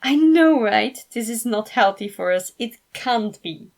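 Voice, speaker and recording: A woman voicing a gushing, squealing, excitable male inner voice in a story reading. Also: on the high side, measured pace.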